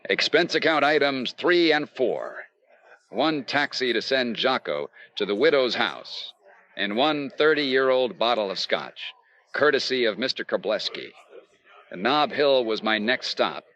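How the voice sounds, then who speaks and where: An actor playing an earnest, dogged investigator in an old-time radio detective drama, urgent and no-nonsense in dialogue and narration. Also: male voice, mid-range voice, expressive, unhurried, bright, forceful tone, rough recording. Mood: determined. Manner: hard-boiled, urgent, no-nonsense. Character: earnest, dogged male insurance investigator in a noir detective serial.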